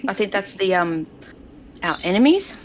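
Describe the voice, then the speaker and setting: A woman's voice: medium pitch, big pitch swings, normal speed. A woman chatting casually as a player in a tabletop role-playing game. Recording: dull, noisy home recording.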